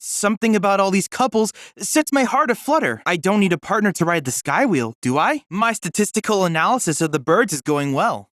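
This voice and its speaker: A man's voice: high voice, fairly bright tone, dry recording, clean studio sound. A man voicing a polite, earnest young android research assistant in a video game, speaking with formal, precise diction. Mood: curious, eager. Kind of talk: scripted video game dialogue.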